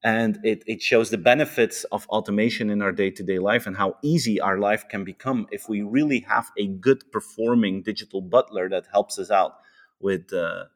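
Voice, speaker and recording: Dutch-accented man, lowish voice. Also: moderate pace. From Europe. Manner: enthusiastic, repeats words when starting a thought, adds "huh?" tags. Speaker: author and podcast guest. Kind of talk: casual conversation.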